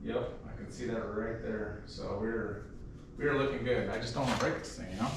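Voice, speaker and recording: A man's voice: lowish voice, steady tone, moderate pace, vocal fry. A man casually walking through a hands-on project as a DIY host, informal and given to jokey asides. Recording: echoey, distant mic, faint background noise.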